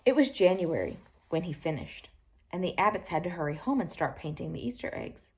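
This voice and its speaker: Animated woman reading a storybook. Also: on the low side, brisk. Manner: animated, expressive, with asides to listeners. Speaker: storyteller reading a picture book aloud. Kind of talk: storybook read-aloud to young children.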